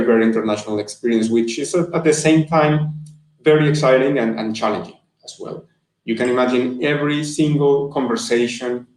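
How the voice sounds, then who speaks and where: A man answers interview questions over a video call. His voice sits in a middle pitch and he speaks earnestly, often restarting a phrase. The sound is roomy, with an echo from the room around him. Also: darkish tone. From Colombia. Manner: earnest and thoughtful, with frequent restarts. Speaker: guest, marketing manager. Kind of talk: interview answers on a live stream. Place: room indoors.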